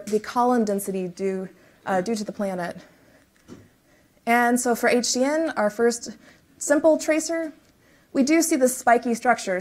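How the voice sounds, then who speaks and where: A woman speaking at a lectern in a lecture room, giving a technical science talk. She explains in measured steps with the occasional filler. Her pitch sits in an ordinary middle range. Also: darkish tone. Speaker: research speaker. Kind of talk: conference talk and Q&A.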